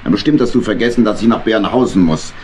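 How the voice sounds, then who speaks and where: Man playing a deep-voiced grumpy old badger uncle. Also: fast, darkish tone, slight background noise, lightly acted. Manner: irritable, blustering scolding. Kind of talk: German children's audio drama acting.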